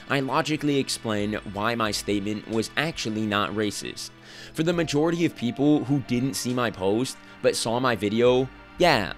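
Young guy, kinda hoarse, casual commentary, lots of "like", faint music behind. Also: mid-range voice. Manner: casual, filler-heavy with frequent "like". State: slightly hoarse from losing his voice. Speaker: commentary YouTuber. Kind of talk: scripted commentary. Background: soft background music.

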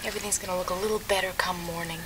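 A woman playing a tender, fragile comforter in an audio drama, speaking softly in a hushed voice. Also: lowish voice, steady tone, rapid-fire, distant mic, very noisy, lightly acted. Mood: tender, sad. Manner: soft, hushed.

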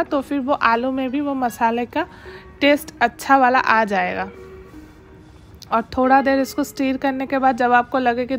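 A woman hosting her home cooking channel, giving steady step-by-step instructions in a voice-over and mixing English words into her speech. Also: sits high.

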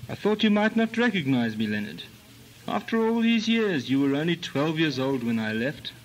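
An actor playing a brooding long-lost cousin in a comic radio melodrama, speaking in a grandiloquent, theatrical way. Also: male voice, a little high, sing-song, moderate pace, rough recording.

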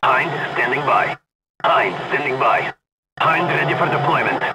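An actor playing a stern Soviet special forces commander in a video game, with a Russian accent and clipped, commanding delivery. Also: male voice, medium pitch, unhurried, heavy background noise, close to an everyday voice. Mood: terse, urgent. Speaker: game announcer. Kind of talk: terse scripted military game announcements.

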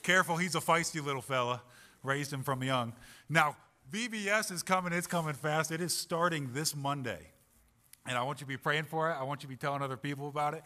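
A man playing a swaggering Australian adventurer with a pet koala, in a put-on Australian accent, pitching an event to a church crowd. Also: fairly quick, bright, forceful tone. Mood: upbeat. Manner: hearty, upbeat, pitching to kids. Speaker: Vacation Bible School promoter. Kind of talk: costumed church announcement.

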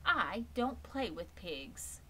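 A woman's voice: medium pitch, sing-song, takes it slowly, bright, forceful tone, a little hiss or hum, full character voice. A woman reading aloud and voicing a snooty young lamb who answers primly and dismissively. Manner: prim, dismissive.

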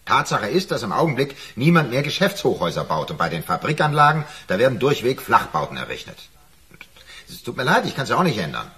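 A blunt, worried boss in a radio crime play. His voice sits at an average male pitch and moves up and down in a lively way as he talks to his workers. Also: fast. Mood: resigned. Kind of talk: naturalistic German radio crime drama acting.

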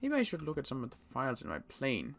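A man playing a worried zombie apocalypse survivor in an improvised game roleplay, muttering to himself and repeating words haltingly. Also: mid-range voice, wildly swooping pitch, gravelly, fairly bright tone, heavily acted.

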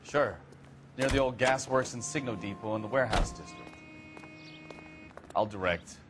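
An actor playing a cynical, needling American veteran traffic cop in a video game cutscene, sardonic and wisecracking. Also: male voice, lowish voice, normal speed, over music, close to an everyday voice. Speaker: police detective.

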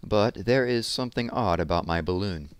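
A man's voice: sits low, normal speed, clear, resonant tone, no room sound. A man in his thirties reading children's picture books aloud expressively, with casual asides, on a headset mic indoors. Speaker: read-aloud storyteller. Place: small room at home, indoors.

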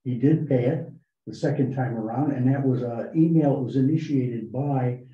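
A man reporting to a town board meeting, talking in a halting way with many fillers and restarts. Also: mid-range voice. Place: town hall meeting room. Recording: echoey, muffled meeting room recording.

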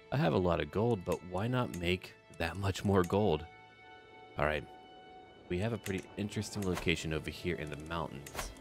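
Deep-voiced man doing gameplay commentary over music. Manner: musing aloud in short clipped remarks. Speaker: host of a gameplay series. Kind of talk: casual gameplay commentary with light roleplay. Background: background music.

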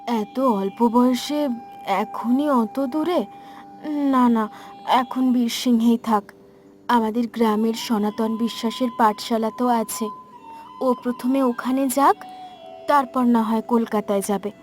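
A woman playing a protective, sensible village mother in a cartoon, gently insistent. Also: fairly high pitch, fairly even intonation, slow pace, pauses often, faint music behind. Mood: concerned.

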